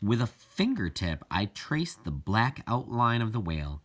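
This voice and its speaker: Slow, low-pitched man reading a story. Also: sing-song, fairly bright tone, breathy edge. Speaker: audiobook reader. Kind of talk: scripted narration, reading a children's novel aloud.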